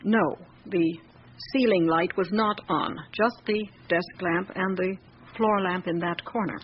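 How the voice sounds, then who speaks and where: An actress playing a guarded seventy-year-old housekeeper in a radio drama, giving curt, reticent, matter-of-fact answers. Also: female voice, fairly low pitch, fairly even intonation, unhurried, close to an everyday voice. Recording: dull lo-fi radio recording with clear hiss.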